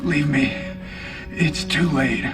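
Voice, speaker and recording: An actor playing a doomed captive soldier in a video game, speaking in a weary, resigned manner. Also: male voice, on the high side, steady tone, slow, recorded from a distance, over loud music. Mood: resigned warning.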